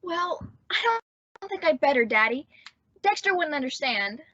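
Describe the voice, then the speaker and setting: A woman's voice: sits high, very animated, moderate pace, bright, forceful tone, exaggerated voice. A woman playing a sweet, naive teenage daughter in an old-time radio sitcom, with an earnest, girlish, pleading manner. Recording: dull, lo-fi audio.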